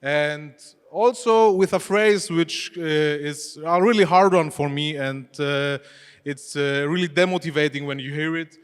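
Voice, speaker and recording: A man's voice: a little high, unhurried, smooth. A man whose first language is Greek, giving a chatty conference presentation on stage and putting questions to the audience in loosely phrased English. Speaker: conference speaker, head of e-commerce. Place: expo hall stage. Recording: long reverb of a large hall.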